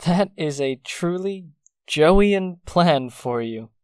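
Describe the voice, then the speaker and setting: A posh-mannered young gent in a radio play, teasing and doubtful, very dry recording. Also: male voice, on the high side, expressive, slow pace, darkish tone, smooth, no room sound. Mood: wry, doubtful. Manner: wry, genteel. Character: teasing, sceptical well-to-do young gentleman friend. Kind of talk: audio drama acting.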